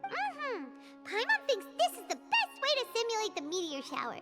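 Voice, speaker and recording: Squeaky, extremely high-pitched tiny fairy girl. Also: wildly swooping pitch, normal speed, exaggerated voice. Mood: pondering. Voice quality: squeaky, childlike. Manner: exclamatory, speaks of self in third person. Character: tiny floating fairy companion girl, bubbly, food-loving, excitable. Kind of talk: animated video game voice acting. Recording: game music playing behind. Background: loud playful game music.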